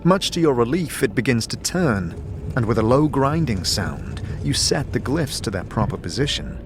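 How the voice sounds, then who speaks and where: A man giving measured, atmospheric second-person narration of a fantasy story as game master. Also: mid-range voice, big pitch swings, music in the background.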